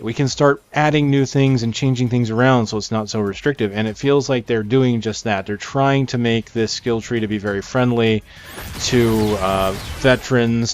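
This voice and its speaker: Man rambling casually over gameplay. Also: mid-range voice. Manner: rambling, thinking aloud. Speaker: gamer presenting a game preview. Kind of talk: casual unscripted gameplay commentary. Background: game music.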